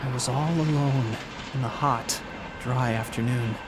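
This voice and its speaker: An actor playing a haunted, desperate young driver in a radio drama, earnest and halting, stammering when agitated. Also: male voice, medium pitch, steady tone, very noisy, close to an everyday voice. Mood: haunted, grim. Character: haunted, desperate young male cross-country driver, unravelling. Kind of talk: radio drama acting with first-person narration. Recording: music behind the voice. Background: dramatic music and car sounds.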